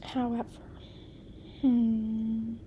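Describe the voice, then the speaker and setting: A woman's voice: on the high side, monotone, drawn-out words, a little hiss or hum. A young woman chatting casually about books, often half-whispering, with a voice worn down by a coming cold. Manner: soft, often whispery, gushing. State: losing her voice, getting sick. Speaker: book vlogger. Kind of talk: casual chat, reviewing books.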